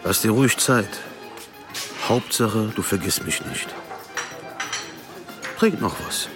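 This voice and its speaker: A menacingly calm male moneylender in a radio drama. His low voice is measured and fatherly, and music plays clearly behind him. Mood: softly threatening. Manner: measured, paternal, veiled threats.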